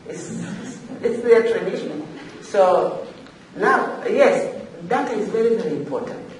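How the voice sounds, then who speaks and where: A Tanzanian woman speaks at a forum, some way from the microphone in a very reverberant room with faint noise. She talks semi-formally and without a script, pausing and repeating herself to drive points home. Her delivery is halting but emphatic. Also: medium pitch, animated. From Zanzibar, Tanzania. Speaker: forum panelist, African regional official. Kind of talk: semi-formal extemporaneous panel remarks. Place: echoey indoor forum room. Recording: echoey room, distant mic, faint noise.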